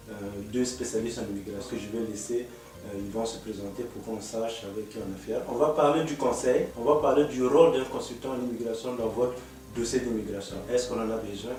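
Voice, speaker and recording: A man hosting a studio panel talk on immigration, asking questions in a measured way with hesitant fillers. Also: mid-range voice, a little mellow, a little hiss or hum. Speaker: host, immigration consultant. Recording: echoey room, mic far off.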